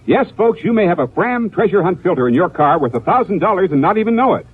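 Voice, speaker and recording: A tinny old radio recording of a male announcer giving a 1950s commercial. His high voice swings with lively emphasis as he sells hard. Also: fast talker, husky. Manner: emphatic, repetitive salesman delivery. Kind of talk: 1950s radio advertisement pitch.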